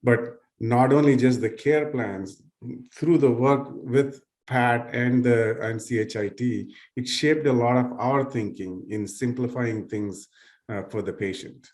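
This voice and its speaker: A man's voice: medium pitch, measured pace, a little mellow. A man speaking on a panel as a nonprofit tech leader, with loose, searching phrasing and many fillers. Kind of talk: panel discussion.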